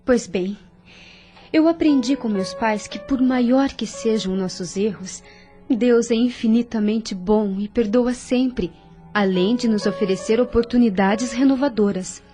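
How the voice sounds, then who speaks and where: Audio drama role, female actor: sweet-mannered young Brazilian nurse, polite, warm dark timbre, quiet music underneath. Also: on the high side, a little slow, lightly acted. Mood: earnest, soothing. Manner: soothing, polite, earnest. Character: friendly, devout young nurse, gentle and caring.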